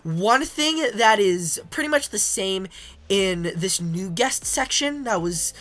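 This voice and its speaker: A young woman gaming creator, hoarse and raspy from being sick, chatting casually over her gameplay with frequent ums. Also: mid-range voice, animated, slow pace, fairly bright tone. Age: young adult. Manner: chatty, many ums and restarts. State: hoarse from illness. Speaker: gaming YouTuber. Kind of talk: casual game commentary.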